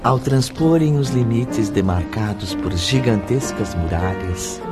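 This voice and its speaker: An actor narrating a solemn spiritual radio drama in a literary, expressive style. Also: male voice, on the low side, unhurried. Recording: music under the voice.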